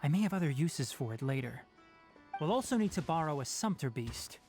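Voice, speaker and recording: An actor playing a calm, analytical young male forest ranger who explains his plans in a measured, matter-of-fact way in a game cutscene. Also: a little high, soft background music. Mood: reasoned. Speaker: forest watcher.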